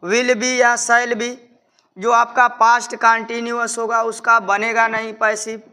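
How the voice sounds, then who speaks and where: Male Indian tutor, bright, crisp voice, step-by-step didactic delivery. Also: very high-pitched, completely flat delivery, a little slow. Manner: didactic, repeats instructions. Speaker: English grammar teacher. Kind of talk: instructional lesson, explaining step by step in Hindi with English terms.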